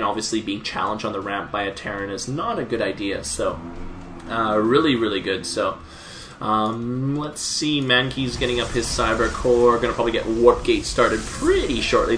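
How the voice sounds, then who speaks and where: Slightly hoarse young male game caster. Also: on the low side, pushed and bright, slight background noise. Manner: chatty, many fillers, laughs at plays. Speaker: esports caster. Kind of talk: solo play-by-play game commentary.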